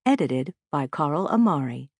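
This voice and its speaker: A woman's voice: deep voice, lively intonation, unhurried, a little mellow, slightly rough, dry recording, studio quality. A woman reading a scripted audiobook title announcement.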